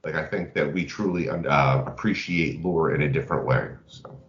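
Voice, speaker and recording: Low-voiced man in a roomy space. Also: normal speed, fairly bright tone. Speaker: podcast host. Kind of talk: casual tabletop gaming chat. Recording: roomy, slightly dull lo-fi audio.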